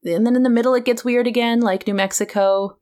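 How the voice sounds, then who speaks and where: A woman's voice: a little high, fairly even intonation, fast, a little mellow. A woman with a Canadian accent, chatting casually as a podcast host. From Vancouver, Canada. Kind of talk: casual chat.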